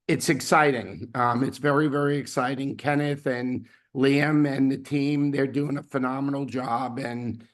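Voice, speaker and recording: A man hosting a weekly team video call, his voice hoarse from laryngitis, rambling with fillers and restarts. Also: mid-range voice, fairly even intonation, a little slow, few pauses. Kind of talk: casual team announcements.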